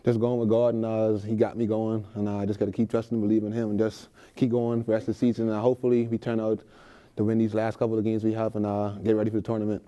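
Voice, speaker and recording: A man's voice: on the low side, monotone, racing, warm tone, clear, resonant tone. A young man from the Bahamas with a Bahamian accent, answering interview questions in a laid-back way with many fillers. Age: young adult. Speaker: college basketball player guest.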